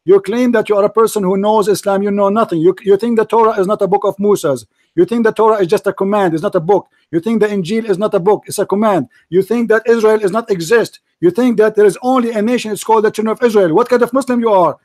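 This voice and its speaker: A man's voice: high voice, fast, hardly any pauses, darkish tone, no room sound. A man debating hotly, mocking and interrupting his opponent and repeating his demands. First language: Arabic. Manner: mocking, combative, repeats himself, laughs at opponent. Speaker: debate host. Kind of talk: heated religious debate.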